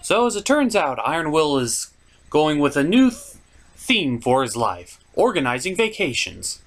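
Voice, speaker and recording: A man's voice: a little high, very animated, moderate pace. A man hosting a comedic cartoon review, narrating casually in a sarcastic, irreverent way. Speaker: host of a cartoon review show.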